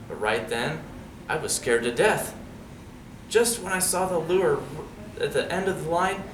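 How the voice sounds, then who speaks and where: A man reading a storybook aloud to young listeners in an expressive, dramatic way. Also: mid-range voice. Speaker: storybook reader. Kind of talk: animated read-aloud story with asides to children. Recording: roomy, distant mic, noisy background.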